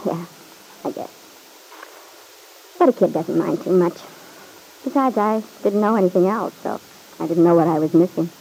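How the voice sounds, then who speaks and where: Breezy showgirl in mellow vintage radio drama. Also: on the low side, normal speed, gravelly, long pauses. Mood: matter-of-fact. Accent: American. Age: young adult. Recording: noisy vintage radio recording.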